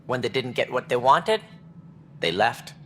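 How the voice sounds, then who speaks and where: An actor playing a stern, proud alien administrator in a video game, speaking in formal, measured, clipped phrases. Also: male voice, medium pitch, expressive, bright, forceful tone, slight background noise. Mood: contemptuous. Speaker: alien colony director.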